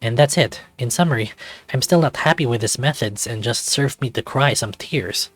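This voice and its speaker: A man's voice: mid-range voice, moderate pace, fairly bright tone, soft background music, close to an everyday voice. A man voicing a troubled, sarcastic young man in a visual novel, introspective and self-questioning. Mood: brooding. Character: troubled, sarcastic young man brooding over old feelings. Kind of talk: visual novel character read-aloud.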